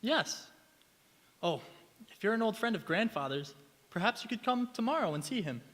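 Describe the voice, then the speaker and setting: A man's voice: high voice, big pitch swings, moderate pace, a bit bright, full character voice. A man acting an earnest, flustered young student in a stage radio play, polite and a little stammering. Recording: echoey hall with long reverb.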